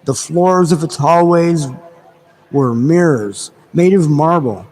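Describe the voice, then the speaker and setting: Man, slow deliberate audiobook narration, mid pitch, measured pauses, faint background noise. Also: a little mellow. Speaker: audiobook reader. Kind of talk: live dramatic reading of a novel. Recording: noisy recording with background ambience. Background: background ambience sounds.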